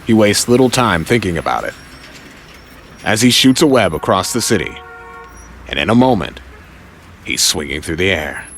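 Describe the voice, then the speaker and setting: Animated male narrator of an audio drama. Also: deep voice, normal speed, long pauses, a little hiss or hum.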